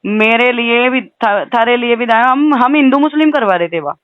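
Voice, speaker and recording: A woman's voice: on the high side, moderate pace, dry recording. A woman with a western Uttar Pradesh accent talks on a recorded phone call in an insistent, aggrieved way, often adding questioning tags. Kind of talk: heated phone conversation.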